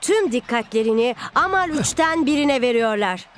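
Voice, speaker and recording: A woman's voice: on the high side, lively intonation, moderate pace, faint music behind, natural voice. A woman voicing a keen, dramatic football commentator in a cartoon. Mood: intrigued.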